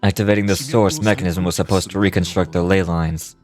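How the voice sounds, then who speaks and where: A man's voice: low voice, steady tone, fairly quick, natural voice. A man acting a questioning character in scripted fantasy video game dialogue. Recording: game music behind voice.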